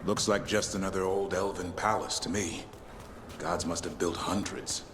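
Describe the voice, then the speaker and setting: An actor playing a gruff, wry and blunt warrior companion in a fantasy game, with music behind him. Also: male voice, sits low, steady tone, moderate pace, noisy background, close to an everyday voice. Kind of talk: fantasy game dialogue acting.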